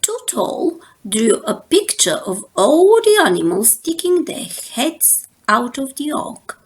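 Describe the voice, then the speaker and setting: A woman reading a children's story aloud as narrator, in an expressive, unhurried storytelling manner. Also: high voice. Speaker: storybook reader.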